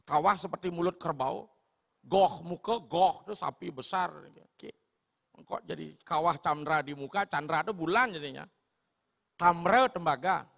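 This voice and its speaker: A male preacher with a Sulawesi accent tells a parable in an animated, storytelling sermon. His voice is fairly high and gravelly. A long reverb carries it through a large hall.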